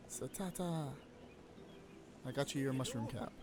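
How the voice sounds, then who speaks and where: A man's voice: medium pitch, noisy background. A man live-streaming a game, chatting casually, laughing often and swearing freely as he narrates his play.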